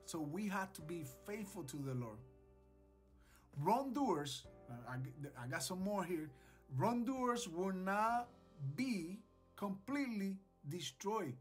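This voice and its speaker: A man's voice: sits high, slightly rough. A man in his forties giving an earnest, exhorting devotional message to camera, repeating phrases for emphasis. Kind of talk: devotional sermon to camera, scripture reading. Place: small indoor home studio. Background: music, guitar.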